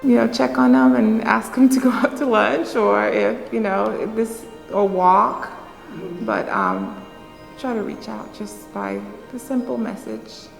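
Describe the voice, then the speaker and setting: A woman from Haiti, speaking slowly and hesitantly in an interview about running. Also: a little high, expressive, creaky, roomy sound, big-room sound, faint music behind. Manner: slow, hesitant, many pauses and fillers. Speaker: interviewee, runner. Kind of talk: reflective interview talk.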